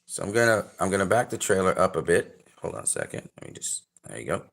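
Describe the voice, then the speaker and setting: Low-voiced Trinidadian man rambling through a trailer. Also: sing-song, fast talker, creaky. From Trinidad and Tobago. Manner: rambling, many fillers and restarts, gives shout-outs. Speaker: channel host reviewing a trailer. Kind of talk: casual reaction commentary.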